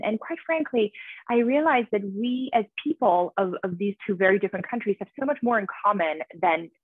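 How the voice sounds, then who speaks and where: A woman's voice: mid-range voice, no echo. A woman in her thirties, originally from Iran, talks fluently and earnestly about her work and often restarts her phrases. She is on a video call from a small room. The sound is dry and thin, like a phone line. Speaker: author guest. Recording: tinny dry call audio.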